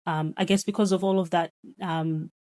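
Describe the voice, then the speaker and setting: A woman in her thirties from Zimbabwe, a guest reflecting on her upbringing with a relaxed, thoughtful manner. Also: on the low side, moderate pace. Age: 30s. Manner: reflective, many fillers and soft laughs. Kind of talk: casual chat.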